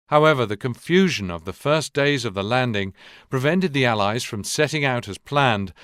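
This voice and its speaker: A man voicing the narrator of a war game, reading scripted history in a measured, serious, documentary manner. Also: mid-range voice, fairly bright tone, clean tone, no room sound, clean studio sound, close to an everyday voice.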